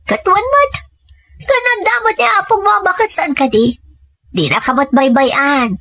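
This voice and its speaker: A woman's voice: very high voice, animated, a little slow, pushed and bright, dry recording, studio quality, full character voice. A woman playing a young, cheerful, encouraging friend in an Ilocano-accented audio drama. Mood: lively, encouraging.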